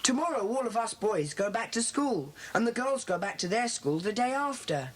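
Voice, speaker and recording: A woman's voice: fairly high pitch, very animated. Woman playing a bossy schoolboy club leader. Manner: brisk, commanding. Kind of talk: children's audio drama acting. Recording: faintly noisy old cassette.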